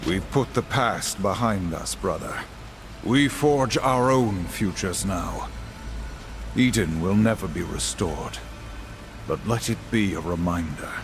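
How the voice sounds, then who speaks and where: A man's voice: sits low, slow. An actor playing a big, stern warrior horseman in a video game, with a grave, formal, resolute manner. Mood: solemn, resolute. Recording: music behind the voice.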